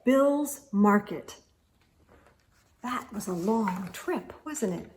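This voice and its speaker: A woman reading a picture book aloud to children, expressively, with gasps and hushed asides. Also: medium pitch, animated, extremely slow, slight background noise. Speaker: children's read-aloud storyteller.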